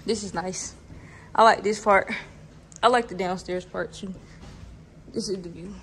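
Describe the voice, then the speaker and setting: A woman's voice: medium pitch, fairly even intonation, a little slow. Young woman vlogger, noisy phone audio. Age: young adult. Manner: informal, slangy. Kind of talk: casual vlog chat and house tour. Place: walking through apartment, hallways and parking garage. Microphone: phone at arm's length. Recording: noisy handheld phone recording.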